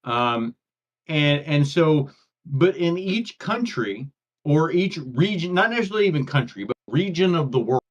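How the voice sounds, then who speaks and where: A man's voice: a little high, lively intonation, normal speed. Older man with a muffled voice, rambling. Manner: rambling, stumbles and repeats words as he restarts. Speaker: podcast co-host. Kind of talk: casual chat. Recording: dull lo-fi audio.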